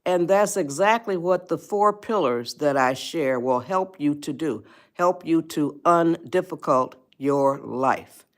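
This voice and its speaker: A woman in her eighties hosting a casual livestream, chatting slowly and greeting viewers by name. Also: low-pitched. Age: 80s. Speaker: livestream host. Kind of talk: casual livestream advice talk.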